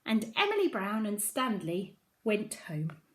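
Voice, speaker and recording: A woman's voice: medium pitch, big pitch swings, measured pace, fairly bright tone. A woman reading a children's story aloud, expressively, with dramatic emphasis on key phrases. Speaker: storybook reader at a primary school.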